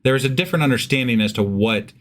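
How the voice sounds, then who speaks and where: A man's voice: medium pitch, lively intonation, racing, a bit bright. A man co-hosting a podcast, chatting casually about scripture in a blunt, profane way. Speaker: podcast co-host. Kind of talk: casual Bible discussion.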